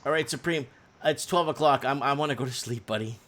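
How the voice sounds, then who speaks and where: A man's voice: mid-range voice, fairly quick, a bit bright. A man hosting a casual live chat, speaking informally and directly to his viewers. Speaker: livestream host.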